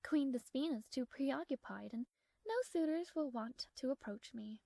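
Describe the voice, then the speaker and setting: A woman's voice: high-pitched, moderate pace, vocal fry, mellow, dry recording. A woman playing a proud, strong-willed young princess in a romantic audio roleplay, speaking formally with flustered hesitations. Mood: haughty, scheming. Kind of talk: scripted romantic audio roleplay addressed to the listener.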